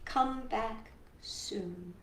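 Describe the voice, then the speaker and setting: A woman hosting a storytime for young children, reading and singing along in an animated, encouraging way and addressing her listeners directly. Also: fairly high pitch, extremely slow, reverberant. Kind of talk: read-aloud and sing-along for young children.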